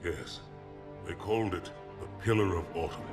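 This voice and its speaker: An actor playing a proud, dignified alien military commander who speaks in a measured, formal, solemn way in a game. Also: male voice, low voice, close to an everyday voice. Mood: grave, defensive. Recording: loud orchestral music behind.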